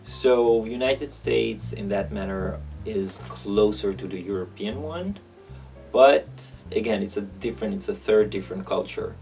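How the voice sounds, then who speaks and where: Low, gravelly man with an Israeli accent. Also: a little mellow, far from the mic, soft background music. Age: 30s. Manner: halting, thinking aloud. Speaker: interviewee. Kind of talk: reflective interview answers.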